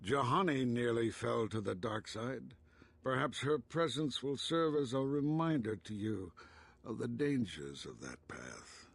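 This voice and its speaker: An actor playing a stern, cautionary Jedi council master in a video game, speaking formally in a raspy, creaky voice. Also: male voice, mid-range voice, measured pace. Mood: warning. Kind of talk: video game cutscene dialogue.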